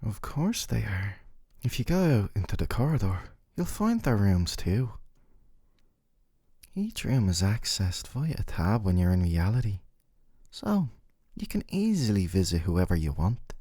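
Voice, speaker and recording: Male actor as doting, possessive game boyfriend for ASMR roleplay; soft, dark timbre, very lively intonation. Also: fairly low pitch, a little slow, husky, no echo, studio quality, full character voice. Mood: fond, reassuring. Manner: soft, coaxing, articulate, teasing tag questions. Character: possessive, gentle yandere dating-sim boyfriend, doting and smug. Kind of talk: scripted ASMR roleplay monologue to the listener.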